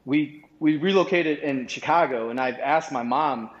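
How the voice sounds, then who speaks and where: A man's voice: on the high side, normal speed. A man from Vietnam in his thirties, a podcast guest telling his life story casually, rambling with many restarts and fillers. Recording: roomy, dull lo-fi sound.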